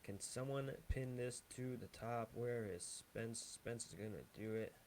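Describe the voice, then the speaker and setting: A man's voice: medium pitch, measured pace, a little mellow, slight background noise. Chatty man hosting a livestream. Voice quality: chatty and loose, hyping the guest. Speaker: livestream host. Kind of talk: casual pre-show livestream chatter.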